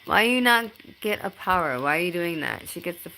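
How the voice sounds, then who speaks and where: A woman in her thirties chatting casually on a live stream into her phone at home, reading out viewer comments and talking in a halting way, with many ums and restarts. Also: fairly low pitch, big pitch swings, creaky, sharp tone, slight background noise. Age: 30s.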